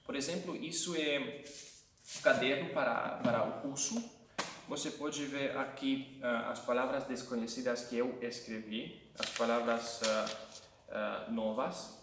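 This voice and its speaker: A man's voice: medium pitch, fairly even intonation, measured pace, background noise. A Hungarian man with a Hungarian accent and Hungarian as his first language gives casual language-learning tips, hesitating often, with many fillers and restarts. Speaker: language-learning video host. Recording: echoey room, mic at a distance.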